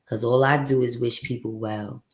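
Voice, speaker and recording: A woman with a deep voice hosting her podcast, venting bluntly and profanely in a candid, confessional monologue. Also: steady tone, moderate pace. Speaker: podcast host.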